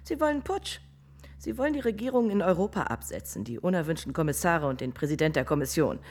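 An actress playing a knowing, urgent informant in a radio thriller, insistent in manner. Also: female voice, low-pitched, very animated, quick pace, a little mellow. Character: urgent, knowing woman exposing a coup plot.

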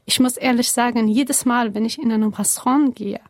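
A young woman from Afghanistan answering interview questions earnestly in halting, German, with many pauses and fillers. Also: on the high side, fairly even intonation, no echo, clean studio sound.

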